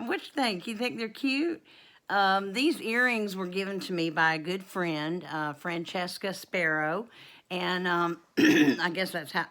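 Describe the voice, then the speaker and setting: A woman's voice: lowish voice, fairly bright tone. A woman, chatting to camera in a rambling, casual Q and A for her homestead vlog. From North Carolina, USA. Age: 50s. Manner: chatty and rambling, many ums and asides. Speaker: homestead vlogger.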